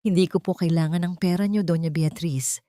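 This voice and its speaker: A woman voicing a humble, hurt young cleaning woman in an audio drama, speaking softly and clearly with dignity. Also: fairly low pitch, fairly even intonation, a little fast, no echo, studio quality, natural voice.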